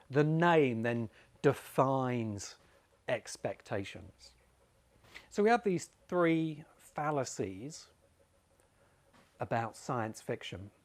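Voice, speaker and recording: A man presenting an explanatory video essay like a lecturer, with emphatic, deliberate phrasing and dramatic pauses. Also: mid-range voice. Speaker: presenter and writing course teacher.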